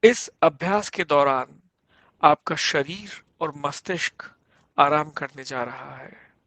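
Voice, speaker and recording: A man guiding a meditation as a yoga instructor, giving measured instructions in short phrases with pauses between them. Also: on the high side, sharp tone, dry recording. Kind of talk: guided yoga nidra meditation, slow spoken instructions.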